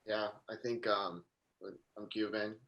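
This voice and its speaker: A man from Miami joining an online panel, speaking quickly and casually. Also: fairly low pitch, steady tone, a bit bright. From Miami, United States. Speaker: panel participant.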